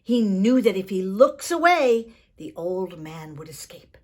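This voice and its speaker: A woman's voice: mid-range voice, sing-song, unhurried. A woman storyteller reading a children's book expressively, in a theatrical manner. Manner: theatrical, with hushed asides to the listener.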